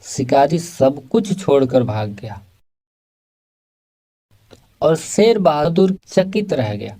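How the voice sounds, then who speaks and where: A man's voice: fairly high pitch, sing-song, takes it slowly, mellow. A man reading scripted narration for a children's moral story.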